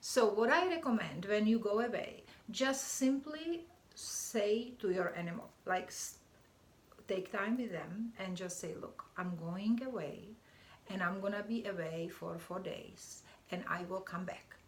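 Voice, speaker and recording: A woman from the Czech Republic, a guest on a talk show, answering in an earnest, halting way and restarting her phrases. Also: mid-range voice. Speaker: guest, animal communicator. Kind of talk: interview answers. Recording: roomy echo with faint noise.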